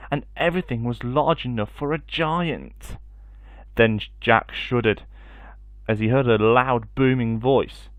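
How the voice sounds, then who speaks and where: A man's voice: medium pitch, no echo. A man reading a children's story expressively as a storyteller.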